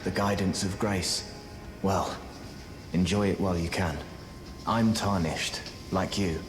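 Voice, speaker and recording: Low-voiced, courteous sorcerer. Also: male voice, fairly even intonation, slow. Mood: courteous, wistful. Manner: genteel, chatty, scholarly. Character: courteous, wry fugitive male sorcerer in a fantasy castle. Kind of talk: fantasy video game dialogue. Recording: roomy echo with loud game music.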